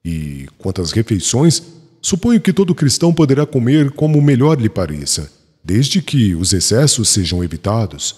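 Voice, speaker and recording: A man's voice: medium pitch, sing-song, moderate pace, a little mellow, slightly rough. An actor playing a satisfied, frank male apostle in an audiobook, with formal, emphatic delivery. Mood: satisfied, assertive. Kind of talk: dramatized audiobook dialogue. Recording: long reverberant hall.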